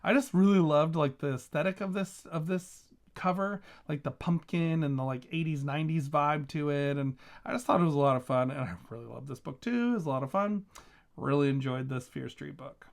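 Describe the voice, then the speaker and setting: A man's voice: fairly high pitch, fairly quick, gravelly. A man talking casually as a vlogging reviewer, gushing with emphatic, enthusiastic delivery. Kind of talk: casual chatty book review vlog.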